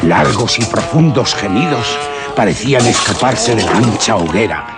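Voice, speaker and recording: An actor playing a grave, solemn storyteller of an old devil legend in suspense radio theatre. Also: male voice, fairly low pitch, lively intonation. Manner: solemn, deliberate, dramatic. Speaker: narrator. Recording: background music under radio narration.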